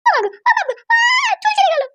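A performer voicing a shrill, defiant young wife who screeches accusations in a comedy cartoon. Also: very animated, quick pace, background noise. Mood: wailing in pain.

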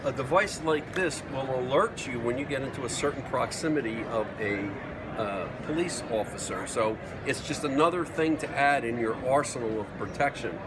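A man's voice: mid-range voice, normal speed. A middle-aged man chatting enthusiastically as he presents gear and asks questions in a casual product demo. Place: trade show booth, indoors. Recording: noisy trade show floor, distant mic.

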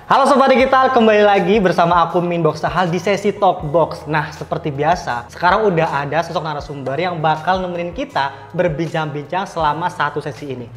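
A man's voice: fairly high pitch, brisk, hardly any pauses. An Indonesian man hosting a casual interview in a chatty way, with youthful slang and bits of English. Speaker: talk show host, interviewer. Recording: reverberant hall sound, faint music.

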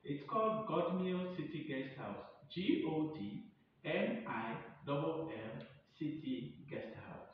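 A very echoey, distant recording with a faint rumble. A man acts as a chatty male receptionist with a slightly high voice, eagerly recommending in a promotional skit. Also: animated, slow pace. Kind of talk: acted promotional dialogue. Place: indoors, tiled front room. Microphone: distant camera or phone across the room. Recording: echoey room, distant dull mic, rumbling noise.

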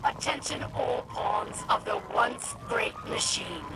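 A woman's voice: a little high, wildly swooping pitch, takes it slowly, very noisy, exaggerated voice. A woman voicing a fierce, contemptuous alien warlord, harsh and declamatory, over a radio transmission in a video game. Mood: menacing, scornful. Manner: menacing, declamatory.

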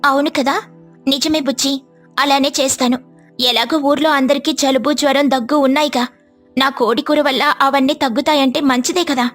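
A woman's voice: high voice, fairly even intonation, brisk, a bit bright, faint music behind. Woman as a kindly mother sparrow. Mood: agreeable, thoughtful. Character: kindly, modest mother sparrow who cooks.

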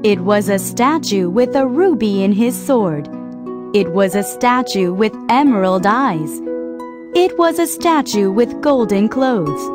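A woman's voice: mid-range voice, lively intonation, unhurried, music in the background. A woman narrating a scripted children's story in simple, carefully phrased sentences.

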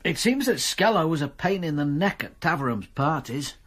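A man's voice: fairly high pitch, very animated, moderate pace, a bit bright, husky, heavily acted. A man playing a blunt, dry-witted police detective in a radio drama. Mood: wry.

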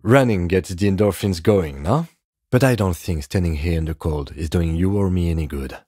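An actor playing a composed, dry police detective in a video game, speaking in a measured, restrained, matter-of-fact way with a soft husky edge. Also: male voice, low-pitched, no room sound. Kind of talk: video game dialogue acting.

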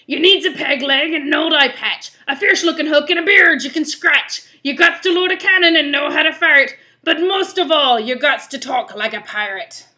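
A woman's voice: high voice, moderate pace, hardly any pauses, sharp tone, voice pushed up. A woman voicing a cranky, blustery male pirate captain who bellows in pirate slang for a storybook. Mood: boastful. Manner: bellowing, rhyming pirate slang with bad grammar.

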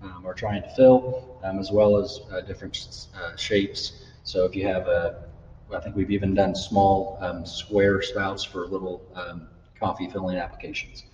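A young man giving a casual live product demo, halting with many ums and uhs. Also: low-pitched, flat delivery, darkish tone, a little hiss or hum. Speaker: product demonstrator. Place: large trade-show style hall, indoors. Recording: distant echoey hall, dull sound.

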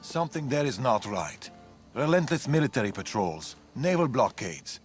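A hunted man of ancient Greece, played by an actor, talks in a grave, guarded way, as one who trusts no place. His mid-range voice is deliberate and world-weary. It is video game dialogue with music playing behind it. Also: mid-range voice, sing-song, natural voice. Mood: grave, wary. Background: background music.

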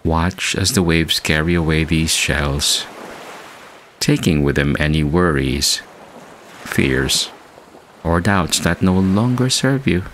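Very deep-voiced man guiding a meditation. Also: a bit bright, a little hiss or hum. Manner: soothing, measured, with long pauses. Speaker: meditation guide and podcast host. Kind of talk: scripted guided meditation narration. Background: background music.

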